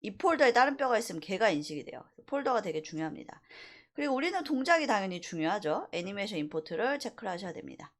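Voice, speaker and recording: Lively woman teaching a software tutorial. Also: medium pitch, fast. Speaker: university animation instructor.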